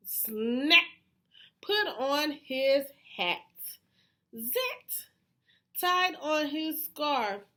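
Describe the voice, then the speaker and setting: A woman storyteller reads a picture book to young children. Her voice is high and bright, with big melodic swings. She is animated and stops to put questions to her listeners. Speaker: read-aloud storyteller.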